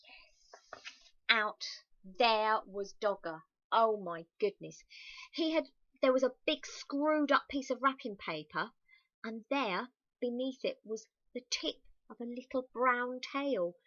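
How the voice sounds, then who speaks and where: A woman's voice: fairly high pitch, slow pace, no echo, lo-fi sound. A woman reading a children's picture book aloud expressively, with chatty asides to young listeners. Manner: animated, exclaiming, addressing young listeners. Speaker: storybook reader.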